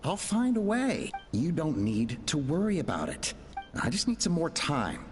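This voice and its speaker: A woman's voice: deep voice, wildly swooping pitch, measured pace, darkish tone, soft background music, heavily acted. A woman playing an anguished, stubbornly determined young person pleading in a video game, in a long reverb. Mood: desperate, pleading. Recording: long reverb, faint background noise.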